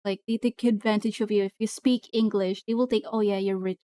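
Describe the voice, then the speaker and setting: A young Filipino woman on a stream, talking casually in slow, careful English. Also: medium pitch, fairly even intonation, mellow, no room sound. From Philippines. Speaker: streamer guest. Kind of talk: casual collab stream chat.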